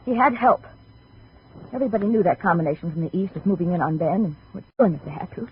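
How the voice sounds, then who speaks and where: A woman's voice: fairly low pitch, fast, breathy edge. An American actress playing a desperate, pleading, stubborn young woman in a 1950s radio drama. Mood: agitated, defensive. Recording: muffled, phone-like old radio recording.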